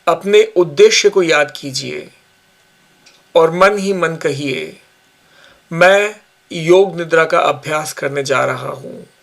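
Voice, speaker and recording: A man guiding a yoga nidra relaxation session with slow, calm, measured instructions. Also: on the high side, creaky. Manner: slow, calm, measured guidance with long pauses. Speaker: yoga teacher.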